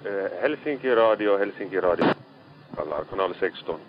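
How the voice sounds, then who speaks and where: A man with a lowish voice speaks as a ship's radio operator. He calls other vessels in maritime traffic, and the recording is dull and lo-fi. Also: expressive.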